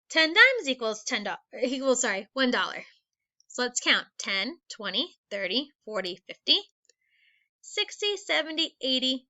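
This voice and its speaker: A woman's voice: a little high, sharp tone, dull audio. A woman teacher reading aloud a lesson for young children in an instructional manner, explaining things step by step. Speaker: elementary school teacher.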